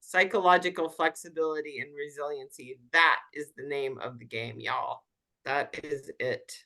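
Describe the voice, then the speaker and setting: A woman's voice: sits low, fairly even intonation, moderate pace, gravelly, bright, forceful tone. A woman hosting a coaching group on a video call, affirming each speaker and recapping what they said. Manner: affirming, recaps what others say, lots of mm-hmm. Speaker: host and wellness coach.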